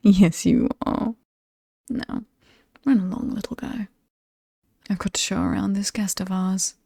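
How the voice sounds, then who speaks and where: Woman playing a slow, whispery British demon seductress. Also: mid-range voice, little pitch movement, dark tone, dry recording. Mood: playful, doting. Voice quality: breathy, half-whispered. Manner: seductive, teasing, theatrically ominous, lingering on words. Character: alluring, sinister ageless demon woman showing off haunted mansion. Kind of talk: ASMR horror roleplay.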